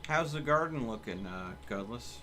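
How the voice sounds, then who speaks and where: A man's voice: mid-range voice, expressive, moderate pace, pushed and bright. Man hosting a game stream, noisy background. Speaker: livestream host and player. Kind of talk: casual gaming stream chat, giving team instructions.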